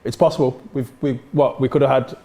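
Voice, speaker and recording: A man's voice: mid-range voice, fairly even intonation, a little fast, dark tone. An English man giving casual, slangy reactions as a fan panellist on a live football watchalong. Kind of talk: casual slangy match chat.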